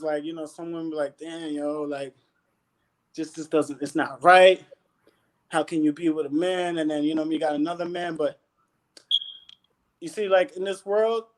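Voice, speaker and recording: Animated high-voiced man sermonizing in slang. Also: rough recording. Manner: animated, slangy, preachy, keeps asking "you know what I'm saying". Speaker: guest panelist. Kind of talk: casual panel chat.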